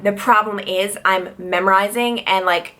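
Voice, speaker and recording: Young woman in her twenties, from Long Island, New York, casual vlog Q&A, bright voice, chatty and full of "like". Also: unhurried. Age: 20s. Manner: chatty, lots of "like", pitch jumps high when excited. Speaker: TV news reporter and vlogger.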